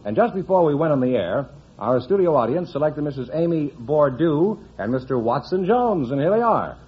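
A man's voice: a little high, very animated, normal speed, dull audio. An American man working as a radio announcer in a formal 1950s style, on an old broadcast recording.

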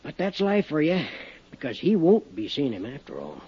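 A man's voice: fairly high pitch, huge pitch swings, moderate pace, husky, heavily acted. An actor playing a chatty, folksy older railway mail man with a drawl in a 1950s radio drama. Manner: rambling, folksy, drawling country talk. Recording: dull vintage 1950s radio recording.

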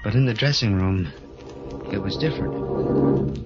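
A man's voice: fairly low pitch, big pitch swings. A man voicing a timid, bitter adult male dwarf who narrates his own story and stammers when pleading, in a 1940s radio drama style. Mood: brooding. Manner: halting, stammering, pleading in dialogue. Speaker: narrator and lead character. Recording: dull old radio recording with music.

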